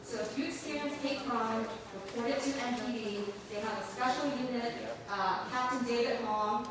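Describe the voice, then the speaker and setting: A woman on a panel from a human rights office, explaining to a public audience in an energetic, emphatic way. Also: on the high side, normal speed, crowd noise. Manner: energetic and emphatic, says she has a loud voice. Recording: echoey room, mic from a distance, background noise.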